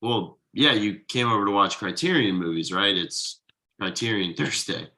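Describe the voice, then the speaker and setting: A man's voice: on the low side, moderate pace, bright, forceful tone. A man playing an exhausted, easygoing thirty-something slacker dad in a casual, hesitant comedy table read. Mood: friendly, concerned.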